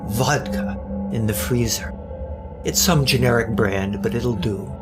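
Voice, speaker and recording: A man's voice: medium pitch, unhurried. A man narrates a scripted thriller drama in a mid-range voice. He tells the story with a wry edge, while prominent music plays behind him.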